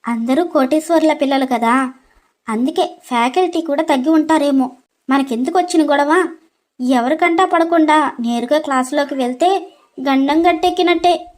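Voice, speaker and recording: A woman voicing a gentle, innocent young bride who sounds hurt and pleading in a dramatized story. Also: high voice, normal speed, lightly acted. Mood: uneasy.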